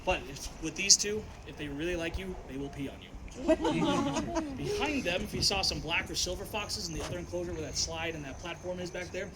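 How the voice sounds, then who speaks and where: A man acting as a wildlife tour guide, talking in a loud, projecting, informative way to visitors outdoors, recorded on a visitor's camera. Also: sits high, expressive, a little fast, over a noisy crowd. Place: outdoors at animal enclosures. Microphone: visitor's camera from among the tour group. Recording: outdoor ambient noise.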